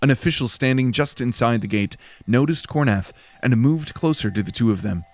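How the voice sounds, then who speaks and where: A man's voice: mid-range voice, moderate pace. A man reading scripted, dramatic narration for a fantasy audio drama.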